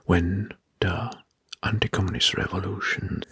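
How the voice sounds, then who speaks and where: A man narrating history as ASMR in a breathy, hushed whisper, slowly and deliberately, softly repeating words. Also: sits low, fairly even intonation, no room sound, clean studio sound.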